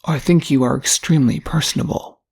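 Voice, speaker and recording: A woman voicing a courteous, wealthy gentleman suitor in a story, polite and formal, with a husky voice. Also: low voice, brisk, full character voice. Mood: courteous, flattering.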